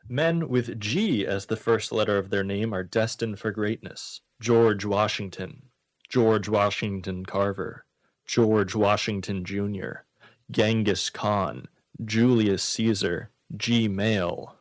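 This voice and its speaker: Low-voiced man hosting radio comedy. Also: slow, dry recording. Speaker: radio comedy show host. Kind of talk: reading jokes, casual radio banter. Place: radio studio.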